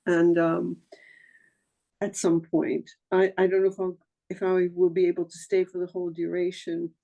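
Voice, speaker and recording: A woman from Argentina on a video call, speaking earnestly and haltingly with many pauses and fillers. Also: on the low side, completely flat delivery, gravelly, warm tone. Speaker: audience member on a video call. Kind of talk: casual chat.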